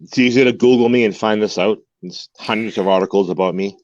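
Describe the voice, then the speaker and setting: A man's voice: fairly low pitch, fast, a little mellow, no room sound, highs cut off. Man in his 40s telling his story, earnest, keeps repeating words, dry sound. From United States. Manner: earnest, repeats words while searching for them. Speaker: guest. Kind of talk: candid interview conversation. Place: home in Mexico.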